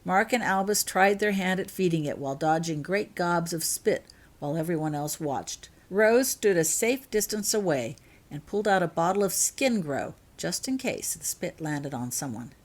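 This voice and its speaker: Female storybook narrator, lower-pitched voice, author reading her own fan fiction. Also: lively intonation, moderate pace.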